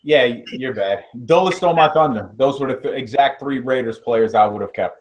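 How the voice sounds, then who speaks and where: A man's voice: medium pitch, brisk, highs cut off. A man on a sports talk show, swearing and playing up mock outrage. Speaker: podcast co-host. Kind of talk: casual sports banter.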